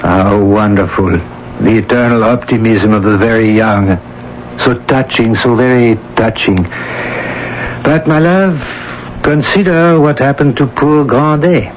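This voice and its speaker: Actor as smooth, scheming male lover, muffled radio. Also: medium pitch, unhurried, natural voice. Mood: mocking, condescending. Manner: condescending, persuasive. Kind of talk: radio drama acting. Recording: dull, noisy old radio broadcast.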